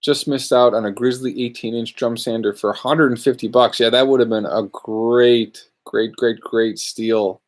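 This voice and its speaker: A man's voice: mid-range voice, fairly even intonation, normal speed, few pauses. A man hosting a live luthier Q&A from his workshop, chatting casually and rambling through viewer questions. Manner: laid-back, rambling, answers viewer questions. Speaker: luthier podcast host.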